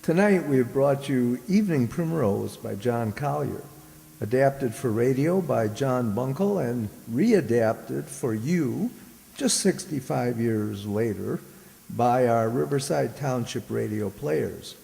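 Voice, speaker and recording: A man announcing the credits of an amateur radio drama, in a measured, playfully formal way. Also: medium pitch, lively intonation, creaky, warm tone. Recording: echoey hall with background noise.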